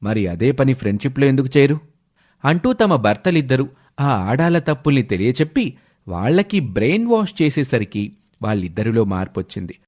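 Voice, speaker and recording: A man narrating a scripted story as a storyteller, with expressive delivery. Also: medium pitch, a little fast.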